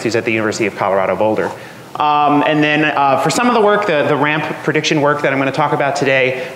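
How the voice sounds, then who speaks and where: Man lecturing in an echoey hall. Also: mid-range voice. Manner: relaxed, chatty, many ums and uhs. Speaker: guest seminar speaker. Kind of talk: academic seminar talk. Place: lecture room. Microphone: room microphone. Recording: echoey hall, faint noise.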